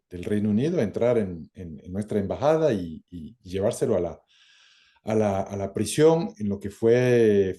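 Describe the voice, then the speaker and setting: A middle-aged man from France and Ecuador giving reflective interview answers, halting as he searches for words. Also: on the low side, mellow. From born in France, lived some twenty years in Ecuador. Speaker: interview guest, academic and former government minister.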